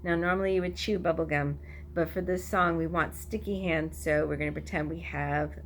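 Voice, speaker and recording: A woman's voice: sits low, steady tone, a little fast, a little hiss or hum. A woman teacher talking to toddlers, giving instructions in simple, repeated phrases. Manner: simple, repetitive phrasing addressed to small children. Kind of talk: instructional talk and sing-along for toddlers.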